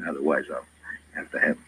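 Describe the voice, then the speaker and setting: An actor playing a stern, weary Old West marshal in a radio western, plainspoken, commanding and dryly wry. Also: male voice, a bass voice, level tone, a little slow, fairly bright tone, breathy edge, exaggerated voice, poor audio. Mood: gentle, wry. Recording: vintage radio broadcast recording, dry studio.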